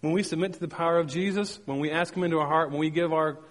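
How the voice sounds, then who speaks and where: A man's voice: on the high side, fairly even intonation, quick pace, in a large hall. A man delivering a sermon, telling personal stories with emphatic repetition and playful sound effects. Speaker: pastor.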